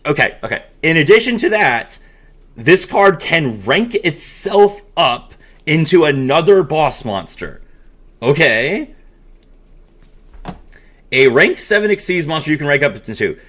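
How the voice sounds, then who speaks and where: A man hosting a dramatic reading mixed with excited reaction commentary, expressive and given to amazed exclamations. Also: fairly high pitch, a little slow, pauses often. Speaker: host.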